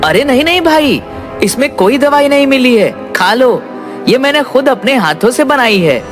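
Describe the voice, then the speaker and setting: A man playing a proud, caring village sweet-seller father in an animated moral story, speaking earnestly and emphatically. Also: very high voice, moderate pace, over music. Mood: friendly.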